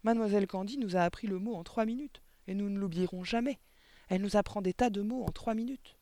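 Un homme qui lit un livre pour enfants en incarnant un petit écolier courageux, poli et empressé, à la voix aiguë. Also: male voice, fast, dark tone, no echo. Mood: eager, proud. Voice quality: high-pitched. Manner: eager, insistent. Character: plucky little schoolboy, brave, eager, polite. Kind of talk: storybook reading.

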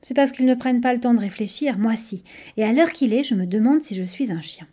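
A woman's voice: a little high, a little fast, dark tone, lightly acted. A woman voicing a tiny, curious, eager young female creature in a storybook, chatty, inquisitive and earnest. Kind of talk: audiobook storytelling.

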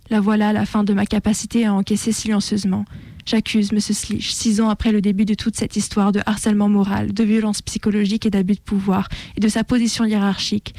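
A woman reading a written first-person testimony aloud on the radio in a solemn, measured way. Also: medium pitch, dark tone, no room sound. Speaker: radio show contributor reading a testimony on air.

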